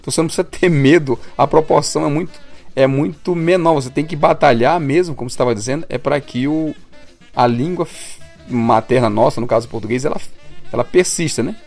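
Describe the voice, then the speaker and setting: A man's voice: on the high side, fast talker, creaky, a little mellow, faint music behind. A Brazilian man co-hosting a casual podcast, explaining things at length with a few restarts and corrections. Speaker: podcast co-host.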